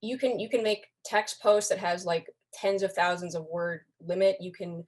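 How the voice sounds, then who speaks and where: A woman's voice: lowish voice, steady tone, normal speed, a little mellow. A young woman speaking as a podcast guest, explaining things in a casual, conversational way. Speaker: podcast guest, online community moderator.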